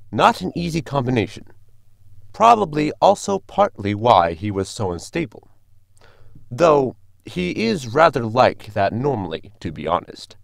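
A man's voice: medium pitch, gravelly, dry recording, studio quality, full character voice. An actor playing a polite, dryly logical male android crewman in a formal, precise manner for a sci-fi comedy audio drama.

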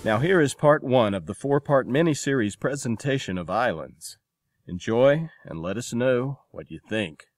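A man's voice: mid-range voice, lively intonation, normal speed, no room sound. A man hosting a podcast, making a scripted announcement. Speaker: podcast host.

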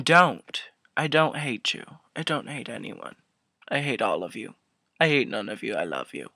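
A man in his twenties telling a comic story to camera as a vlogger, halting and mock-emotional, swelling and hushing, close to a webcam mic in a small room. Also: fairly high pitch, no room sound. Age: 20s. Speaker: video blogger. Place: small furnished room indoors. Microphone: built-in webcam mic up close.

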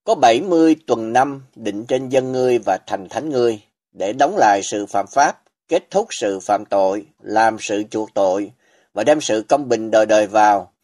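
A man preaching a scripted sermon as a voice-over, reading in a solemn, measured way with emphatic pauses. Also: lowish voice, animated, a little mellow.

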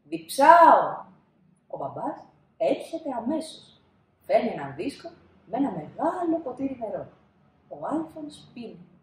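A woman in her thirties narrating a children's storybook expressively, with dramatic pauses and emphasis. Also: a little high, sing-song, mellow, a little hiss or hum. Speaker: storybook reader. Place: small indoor room, seated. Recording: roomy indoor echo.

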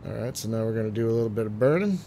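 A man getting over a cold, phlegmy and clearing his throat, chatting casually through a game with many ums and trailing remarks. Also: on the low side, animated, racing, noisy background. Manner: chatty, frequent ums and uhs, trailing off quietly. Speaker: gaming video host. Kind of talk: casual let's-play commentary.

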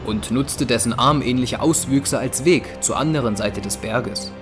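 A man narrating a scripted dramatic story for an audio drama in short, deliberate, measured phrases. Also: medium pitch. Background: music.

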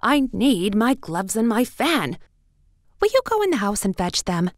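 Lady doing a fussy storybook rabbit guy, bossy, pitched up a bit, super dry recording. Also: very animated, unhurried, no echo. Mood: bossy, hurried.

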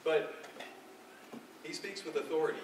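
Echoey noisy stage recording, male actor as humbled big fisherman apostle, earnest, halting, reflective, emphatic monologue. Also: a little high, animated, recorded from a distance. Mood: awed, reverent. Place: dark theatre stage, recorded from the audience. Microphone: far camera.

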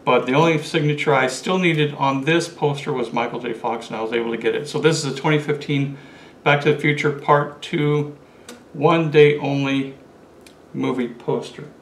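A man's voice: mid-range voice, fairly even intonation, normal speed. Chatty man showing off collectibles. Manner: chatty, enthusiastic, holds items up to camera. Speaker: collector vlogger. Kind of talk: casual show-and-tell vlog. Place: small indoor room with curtains. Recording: roomy echo, faint room hiss.

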